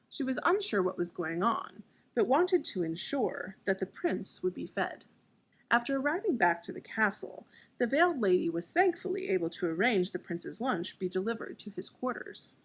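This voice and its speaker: A woman's voice: mid-range voice, slightly rough. A woman narrating as an author and storyteller. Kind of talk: scripted audiobook narration.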